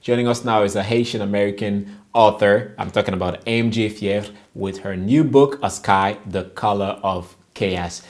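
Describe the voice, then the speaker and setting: A man's voice: fairly low pitch, normal speed, few pauses. A man hosting a broadcast interview, introducing and questioning a guest. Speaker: TV interview host.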